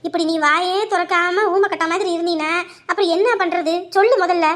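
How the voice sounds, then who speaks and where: Brief: woman playing a soft, low-voiced older elder, patient and coaxing. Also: fairly quick. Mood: gentle. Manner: low, gentle, coaxing with proverbs.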